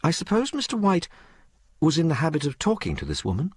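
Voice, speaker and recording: A man's voice: fairly high pitch, wildly swooping pitch, fairly quick, breathy edge, no echo, studio quality, voice pushed up, exaggerated voice. A man voicing a genteel young lady in an audiobook, speaking properly, earnestly and firmly. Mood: firm, probing. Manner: proper, earnest, insistent questioning. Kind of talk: audiobook character dialogue.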